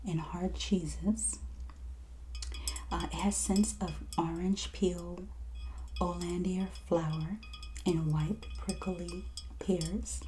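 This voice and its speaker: ASMR merchant role: woman, dark mellow tone, soft breathy near-whisper, very unhurried, gentle presenting. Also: fairly low pitch, a little hiss or hum, rough recording. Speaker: ASMR host playing a wine and spirits merchant. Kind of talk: soft-spoken ASMR roleplay, product showcase.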